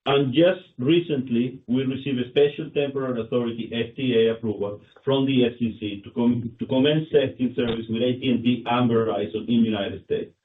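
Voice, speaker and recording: A male company executive reading scripted financial results on an earnings call in a formal, somewhat halting manner. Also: medium pitch, steady tone, recorded from a distance. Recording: muffled phone line, roomy, clicks, noise.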